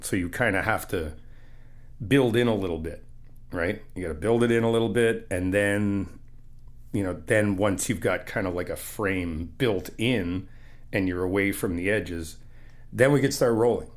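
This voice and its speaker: A man's voice: sits low, normal speed, close to an everyday voice. A man playing an easygoing, encouraging friend in a casual ASMR roleplay, chatting informally and explaining things patiently. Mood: patient, instructive. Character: easygoing, encouraging male friend helping paint an apartment.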